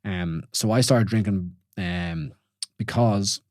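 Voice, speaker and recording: A man's voice: fairly low pitch, sing-song, takes it slowly, vocal fry, a little mellow, dry recording, studio quality. An Irish man in his forties hosting a solo podcast, talking things through informally with listeners and often asking "you know?". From Ireland. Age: 40s. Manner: informal, questioning, many restarts and "you know". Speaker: podcast host. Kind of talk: reflective solo podcast talk.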